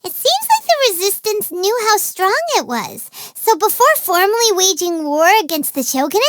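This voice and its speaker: A woman voicing a small, childlike fairy companion in a video game, excitable, cheeky and easily offended, with a squeaky, melodramatic delivery. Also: no room sound, heavily acted. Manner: speaks of self in third person, exclamatory, melodramatic. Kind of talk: animated video game voice acting.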